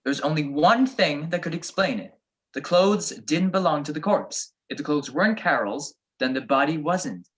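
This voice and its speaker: A confident radio-drama sleuth, played by a man, witty and wry. The pitch is lively and varied as he sets out his reasoning in an old-time detective style. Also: normal speed, lightly acted. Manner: wry, explaining deductions with cool confidence. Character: witty, self-assured male private detective.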